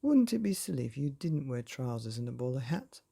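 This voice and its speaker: Man playing a silly, husky storybook fellow. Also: mid-range voice, very animated, brisk, warm tone, exaggerated voice. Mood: playful, teasing. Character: cheerful, silly storybook man posing absurd questions.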